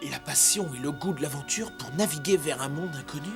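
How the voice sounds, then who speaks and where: A man's voice: fairly high pitch, fairly quick, close to an everyday voice. A man playing a young, bold and determined sea adventurer in a dubbed cartoon, earnest and intense. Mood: surprised, curious. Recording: loud music over the voice.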